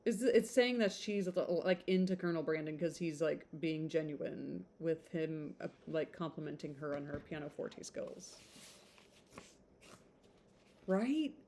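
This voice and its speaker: A woman reading a classic novel aloud in an informal, expressive way, stumbling over some words and adding chatty asides. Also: deep voice, moderate pace, few pauses, slight background noise.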